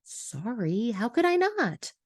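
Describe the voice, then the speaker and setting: A woman voicing a concerned, wry best friend in an audio story, soft but assertive. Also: fairly high pitch, very animated, slow pace, dry recording, clean studio sound, pitched above the performer's own voice, full character voice.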